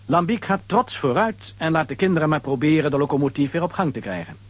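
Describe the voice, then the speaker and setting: A man narrates a children's adventure story. His voice is slightly high and husky, and he tells it with very lively, varied intonation. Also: fast.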